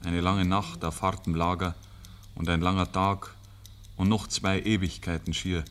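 Deep-voiced, grave storyteller. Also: male voice, level tone, fairly bright tone. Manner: measured, solemn, literary. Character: grave, ironic male storyteller. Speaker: narrator. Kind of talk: scripted narration, 1950s radio drama.

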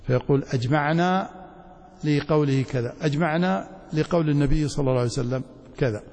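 A man's voice: a little high, quick pace, a little mellow. A man with a Saudi accent teaching a religious lecture didactically, often checking that his students follow. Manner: didactic, checks understanding with short questions. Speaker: Islamic studies teacher, sheikh. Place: large reverberant hall, mosque. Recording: echoey hall with long reverberation.

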